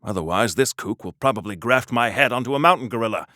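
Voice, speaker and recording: A man's voice: mid-range voice, expressive, quick pace, bright, forceful tone, no echo, studio quality. A man voicing a wholesome, pompous 1940s patriotic superhero for a video game, with earnest, hammy delivery and quaint old-fashioned exclamations. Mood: urging, determined.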